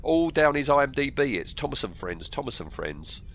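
A man's voice: medium pitch, sing-song, normal speed, fairly bright tone. Man, bunged up with a cold, podcast host, casual film trivia, hesitant and rambling, noise behind the voice. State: has a cold. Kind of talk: casual film discussion reading out actor trivia.

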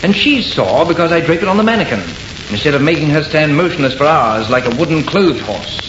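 An actor playing an exasperated, short-tempered painter husband in an old-time radio horror drama. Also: male voice, fairly high pitch, lively intonation, brisk, lightly acted. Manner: impatient, irritable, theatrical. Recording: muffled, noisy, echoey old radio recording.

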